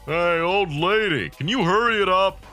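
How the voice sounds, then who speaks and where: A man's voice: sits high, moderate pace, bright, forceful tone, faint music behind. A man voicing a mocking, cocky teenage delinquent bully in a manga dub. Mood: taunting. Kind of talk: manga dub character acting.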